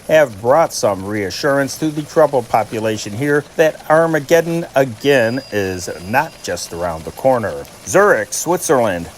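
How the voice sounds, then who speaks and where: A man with a medium-pitched voice reads scripted news dispatches. His delivery is formal and announcer-like, in the manner of a news host. There is some audible noise behind the voice. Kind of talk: scripted newsreading of old wire dispatches.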